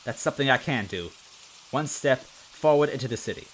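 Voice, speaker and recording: A man's voice: medium pitch, expressive, brisk, a little hiss or hum, close to an everyday voice, rough recording. A man voicing a timid, anxious detective from a story, hesitant and self-doubting yet earnest. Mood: self-encouraging. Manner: hesitant, stammering.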